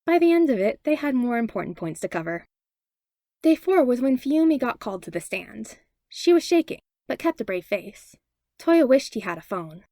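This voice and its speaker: A woman narrates a story expressively, her pitch moving around a lot. There is noticeable fry in her voice, and the sound is dry and close. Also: sing-song, normal speed, dark tone, no echo. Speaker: podfic narrator.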